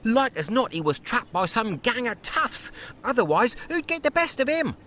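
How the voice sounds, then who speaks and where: A man's voice: very high-pitched, big pitch swings, normal speed, bright, forceful tone, a little hiss or hum, full character voice. A man voicing a cheeky young street newsboy in a video game, with a Cockney accent and a chirpy, gossipy, sly way of talking. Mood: cheeky, eager. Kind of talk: dramatic video game voice acting.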